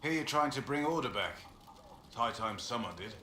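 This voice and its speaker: An actor playing a gruff older horsemaster in a fantasy game, blunt, plain-spoken and folksy. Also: male voice, mid-range voice, animated, moderate pace, background noise, lightly acted. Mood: gruff, skeptical. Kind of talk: video game dialogue acting. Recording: echoey, with music behind.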